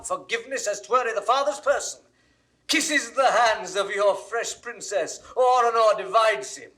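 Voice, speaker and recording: Bright-voiced, earnest noble counsellor. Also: male voice, high-pitched, exaggerated voice, low-quality recording. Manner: measured, declamatory, persuasive. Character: loyal, earnest male lord and counsellor to a prince. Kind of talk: classical Shakespearean stage acting, 1960s audio recording.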